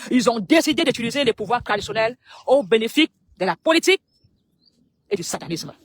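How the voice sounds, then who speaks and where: A woman with a Cameroonian French accent making an impassioned public appeal, speaking emphatically in short phrases with dramatic pauses. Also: a little high, big pitch swings, no echo.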